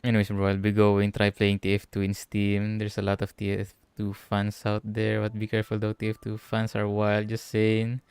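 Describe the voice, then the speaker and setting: A man's voice: on the low side, completely flat delivery, normal speed, few pauses, a little mellow, clean tone, no room sound. A man from the Philippines, giving casual, sweary commentary while livestreaming a game. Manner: informal, sweary.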